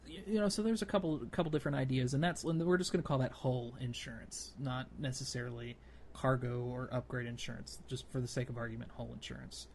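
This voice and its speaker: A man with a medium-pitched voice chats as a podcast co-host. He explains things step by step, thinking aloud in a casual way. There is clear ambient noise behind him. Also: fast. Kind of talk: casual podcast discussion of gaming.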